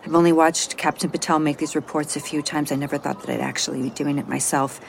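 A woman's voice: low-pitched, fairly even intonation, quick pace, vocal fry, slight background noise. An actress playing an anxious, flustered botanist on a space mission, hesitant and self-doubting as she gives a report in an audio drama. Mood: anxious, unsure. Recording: moody music score underneath.

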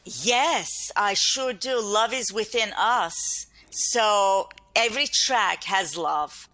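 A woman's voice: medium pitch, slow pace, pushed and bright. A middle-aged woman with a Turkish accent, speaking earnestly as an interview guest and often laughing as she tells her story. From Turkey. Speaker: guest, stress mastery educator. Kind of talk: podcast interview chat.

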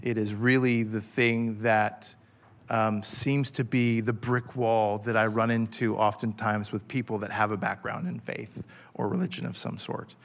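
A man in his forties preaching a passionate sermon, halting with fillers and restarts as he builds his point. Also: fairly low pitch, flat delivery, vocal fry. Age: 40s. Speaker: pastor.

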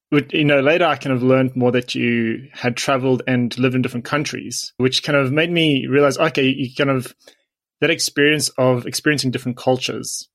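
Reflective man from South Africa, mid-pitched. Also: fairly bright tone. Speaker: interviewer. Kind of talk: casual conversation.

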